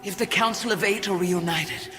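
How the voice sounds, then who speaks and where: An actress playing a solemn, noble warrior maiden spirit in a video game, with slow, formal delivery in a long hall reverb. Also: female voice, medium pitch, music in the background, close to an everyday voice. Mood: solemn, troubled. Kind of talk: video game dramatic acting.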